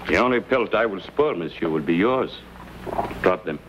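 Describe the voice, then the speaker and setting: An actor playing a hostile, suspicious Cajun trapper in an old TV western. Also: male voice, low-pitched, lively intonation, normal speed. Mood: hostile, menacing. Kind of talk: 1950s TV western acting. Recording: muffled vintage film soundtrack, faint noise.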